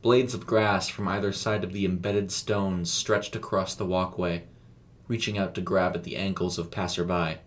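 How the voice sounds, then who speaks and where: A man reading scripted story narration in a measured, descriptive way. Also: flat delivery. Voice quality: low-pitched.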